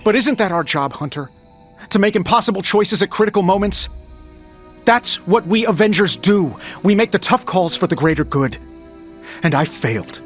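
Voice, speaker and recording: An actor playing a proud, guilt-ridden genius superhero in a video game, sarcastic, emphatic and hard on himself. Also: male voice, high-pitched, expressive, normal speed. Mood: defiant guilt. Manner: sarcastic, self-reproaching, emphatic. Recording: muffled game audio under music.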